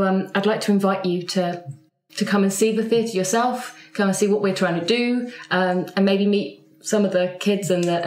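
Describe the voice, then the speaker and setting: Woman player, slightly low voice, halting improvised delivery, roomy sound. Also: darkish tone. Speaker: player in a roleplaying podcast. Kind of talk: improvised in-character chat. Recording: echoey room.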